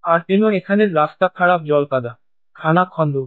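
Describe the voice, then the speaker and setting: A man's voice: fairly high pitch, a little fast, dry recording, phone-quality sound, natural voice. A man voicing a struggling, stubborn village fish seller in a cartoon, with a plaintive, complaining manner. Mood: worried, complaining. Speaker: cartoon voice actor. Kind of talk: Bengali cartoon story acting.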